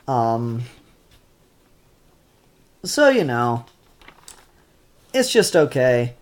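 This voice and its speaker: A congested, stuffed-up voice drawls along at a slow, easy pace. This comic reviewer talks offhand and unscripted, full of ums and half-started sentences. The pitch sits in an ordinary middle range. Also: very animated. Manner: unhurried and offhand, many ums and restarts. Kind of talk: casual spoken comic review.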